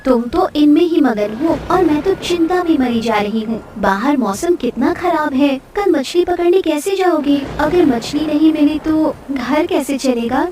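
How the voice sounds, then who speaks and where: High-pitched fretful cartoon village wife by a woman. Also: expressive, unhurried, few pauses, far from the mic, crowd noise. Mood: worried. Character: caring, worrying fisherman's wife, quick to scold. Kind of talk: Hindi cartoon story dialogue.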